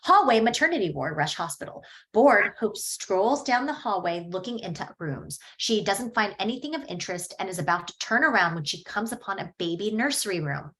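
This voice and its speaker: A woman narrating a table read, reading scene headings and stage directions in a steady, plain way. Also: medium pitch, fairly quick, hardly any pauses.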